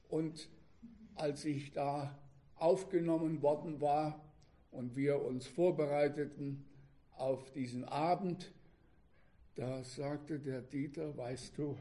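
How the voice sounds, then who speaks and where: Elderly male preacher, eighties. Unhurried, measured delivery in halting phrases, soft-edged warm tone, reflective personal address to a congregation. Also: a little high. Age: 80s. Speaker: preacher, former religious radio broadcaster. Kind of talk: sermon opening with personal reminiscences to a congregation.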